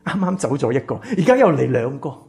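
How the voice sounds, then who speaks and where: An actor playing a wounded, embittered rich man in a Cantonese radio drama, with a Hong Kong accent and a breathy, husky voice. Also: medium pitch, big pitch swings, brisk, darkish tone, full character voice. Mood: fearful, angry. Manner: intense, dramatic. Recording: music behind the voice.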